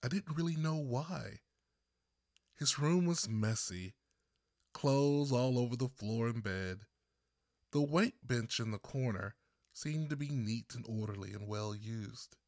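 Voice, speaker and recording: A man from Texas reading his own first-person story as an audiobook narrator, with deliberate phrasing and pauses. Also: mid-range voice, a little mellow, no room sound, studio quality. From Texas, United States.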